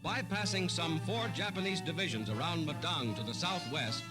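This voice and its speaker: A man's voice: a little high, moderate pace, over loud music. A man with an American accent narrating a 1940s newsreel in a dramatic, formal, declamatory announcer style, on a vintage soundtrack.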